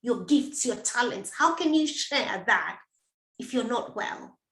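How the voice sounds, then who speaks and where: A middle-aged woman with a high voice speaks slowly and deliberately as a coach, encouraging her listeners and repeating key phrases. Her voice rings out in an echoey room. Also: expressive, gravelly, a bit bright. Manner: encouraging, repetitive, direct address to listener. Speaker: menopause coach and host. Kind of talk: live motivational talk to viewers.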